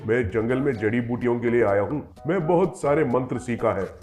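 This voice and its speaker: A man voicing a kindly, wise old forest mystic in a cartoon story, with a measured, benevolent manner. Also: medium pitch, a little mellow, soft background music, lightly acted. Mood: kindly, solemn.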